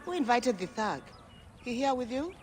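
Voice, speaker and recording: A woman voicing a sharp-tongued dice gambler in a game, teasing and scornful. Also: a little high, big pitch swings, moderate pace, soft background music. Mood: mocking.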